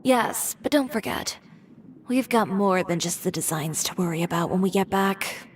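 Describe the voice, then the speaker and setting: A woman's voice: mid-range voice, animated, moderate pace, lightly acted. A woman voicing a proud, determined young fashion designer, composed and firm, with game music behind. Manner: composed, dry, firm and self-assured. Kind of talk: video game voice acting.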